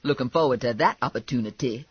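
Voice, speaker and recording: A man voicing a brash, sassy, loudmouthed woman with blunt, slangy, indignant talk in an audiobook. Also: mid-range voice, quick pace, breathy edge, dry recording, muffled recording, close to an everyday voice. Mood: scornful.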